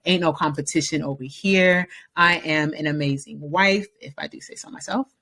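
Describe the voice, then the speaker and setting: A woman's voice: deep voice, moderate pace, fairly bright tone. Confident upbeat woman guest. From San Francisco Bay Area, US. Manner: upbeat, confident, frequent fillers and laughs. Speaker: podcast guest. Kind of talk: casual interview conversation.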